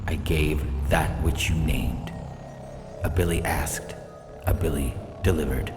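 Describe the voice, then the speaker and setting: A man voicing a gloating trickster god in grandiose, archaic, drawn-out phrases for a science fiction story. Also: very deep voice, reverberant, big-room sound. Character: gloating trickster god with pointed metallic teeth. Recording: music behind the voice.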